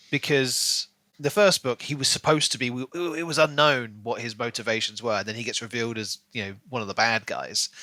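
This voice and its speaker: Chatty podcast guy talking books, lots of like and you know, sharp bright voice, no room sound. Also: medium pitch, dry recording. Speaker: podcast co-host. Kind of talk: casual book discussion.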